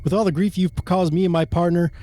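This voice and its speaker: A man playing a gruff, bullying small-town sheriff in an amateur radio play, sardonic and threatening. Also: sits high, fairly even intonation, fast, mellow, a little hiss or hum, close to an everyday voice. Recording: faint music behind the voice.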